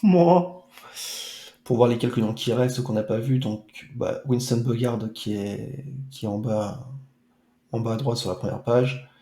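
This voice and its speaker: A man chatting casually over a retro football game stream, full of playful, mock-dramatic asides. His voice is mellow and soft-edged. The room around him rings with echo. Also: mid-range voice, measured pace. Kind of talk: casual let's-play chat.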